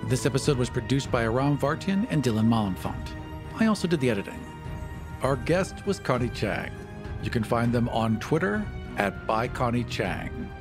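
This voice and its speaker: A man's voice: mid-range voice, big pitch swings, normal speed. A man hosting a podcast, reading scripted announcements and promos. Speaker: podcast host and producer. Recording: music under the voice.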